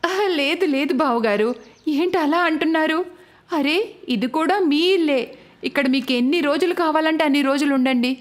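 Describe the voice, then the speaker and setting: A woman voicing a hospitable, deferential younger sister, a village housewife in an animated family story. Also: sits high, moderate pace, close to an everyday voice. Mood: welcoming. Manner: eager, deferential, apologetic.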